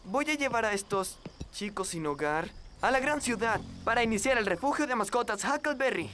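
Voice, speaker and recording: A woman's voice: a little high, expressive, normal speed, a little hiss or hum. A woman voicing a friendly, easygoing young boy in a children's cartoon dub. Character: easygoing, friendly young boy who looks after animals. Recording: loud music behind the voice.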